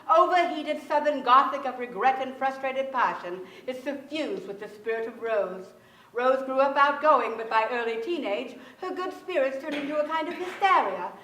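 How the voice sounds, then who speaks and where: Mature female stage reader, high voice, dramatic scripted delivery in a roomy theatre. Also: moderate pace, bright, forceful tone. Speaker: actor in a staged reading. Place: theatre stage before an audience.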